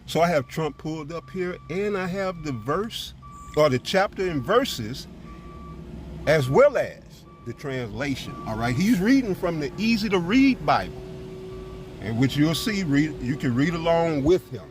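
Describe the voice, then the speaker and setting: A man's voice: a little high, big pitch swings, a little slow, slight background noise. A man preaching and commenting on scripture in an informal, emphatic way, often checking in with "all right.". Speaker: preacher and presenter.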